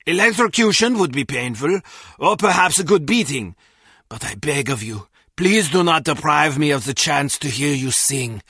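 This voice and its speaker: A man's voice: on the high side, expressive, moderate pace, sharp tone, slightly rough, dry recording, clean studio sound, exaggerated voice. A man playing a cowering German henchman in a game, pleading abjectly and melodramatically in a German accent.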